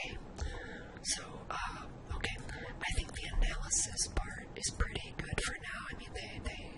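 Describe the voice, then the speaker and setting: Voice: very high voice, rough recording. Someone whispering an informal ASMR-style spreadsheet tutorial, thinking aloud while demonstrating each step.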